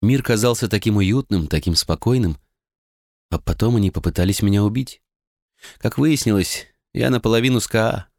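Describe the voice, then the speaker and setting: A man's voice: on the low side, moderate pace, a little mellow, no room sound, clean studio sound, natural voice. A man voicing a bitter, betrayed young noblewoman in an audiobook, talking in an earnest, rueful way. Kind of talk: audiobook character reading.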